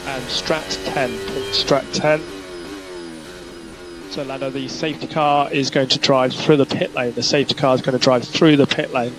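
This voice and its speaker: A male race engineer speaks over a team radio feed with music clearly behind him. He gives clipped, procedural instructions to a driver and repeats the key ones. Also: medium pitch, rough recording.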